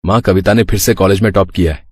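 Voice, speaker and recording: A young husband in a family storybook drama acted by a man. His voice sits fairly low and sounds conversational. He is calm and reasonable, firm when protecting his wife. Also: quick pace, husky, no echo, clean studio sound. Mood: pleased.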